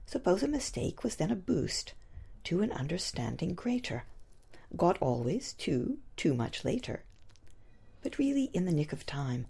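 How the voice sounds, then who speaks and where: A woman poet reciting her own verse expressively, with a husky, breathy, gravelly voice and theatrical, deliberate emphasis on the rhymes. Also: on the low side, sing-song, dark tone. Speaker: poet reading own work.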